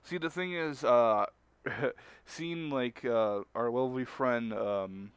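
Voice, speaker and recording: A man co-hosting a casual group stream chat, speaking haltingly and often rephrasing as he goes. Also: mid-range voice, smooth. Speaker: stream co-host.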